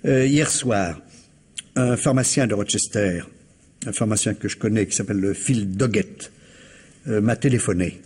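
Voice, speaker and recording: A fastidious retired civil servant in his sixties, with a husky, lowish voice. He speaks with formal, hesitant courtesy, careful and reserved, in radio mystery style. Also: darkish tone. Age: older. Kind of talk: French radio mystery play acting.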